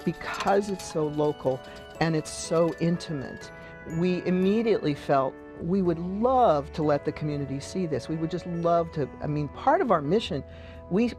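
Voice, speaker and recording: A man's voice: fairly high pitch, darkish tone. A man speaking unscripted as an archive interviewee in a documentary. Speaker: film archive staff interviewee.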